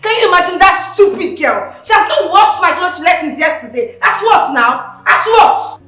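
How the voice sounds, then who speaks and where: An actress playing a jealous, bullying wife who scolds, insults and threatens with repeated angry questions. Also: female voice, very high-pitched, lively intonation, moderate pace, sharp tone, faint music behind, full character voice. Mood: scolding. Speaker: actress in a domestic skit. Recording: echoey room.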